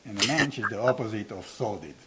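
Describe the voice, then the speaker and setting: A man's voice: on the low side, expressive, fast talker, very noisy. An older man from Israel with an Israeli accent, hosting an unscripted conversation in a blunt, provocative way with self-deprecating humor. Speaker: host, founder of a training academy.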